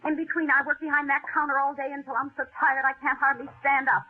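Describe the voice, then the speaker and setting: An actress playing a bitter, nagging working wife in a 1940s radio drama, scolding with sharp sarcasm. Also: female voice, sits high, level tone, quick pace, close to an everyday voice. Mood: nagging, scornful. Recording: tinny band-limited old radio broadcast.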